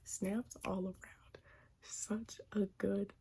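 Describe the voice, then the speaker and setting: A woman's voice: medium pitch, flat delivery, slow pace, vocal fry. A young woman whispering a chatty recap of a wrestling show, gushing like a fan and giggling often. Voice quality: soft whisper, frequent giggles.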